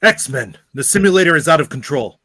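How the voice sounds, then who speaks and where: A man's voice: a little high, lively intonation, brisk, pushed and bright, husky, exaggerated voice. A man playing a stern, commanding mentor of a mutant team in radio theatre, authoritative and intense. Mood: alarmed. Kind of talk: live-read radio theatre acting.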